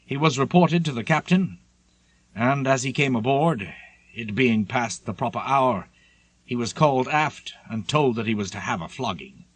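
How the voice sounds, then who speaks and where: A man narrating a seafaring memoir as an audiobook, reading scripted prose in a measured, literary storytelling manner. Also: medium pitch, expressive, slightly rough, no echo.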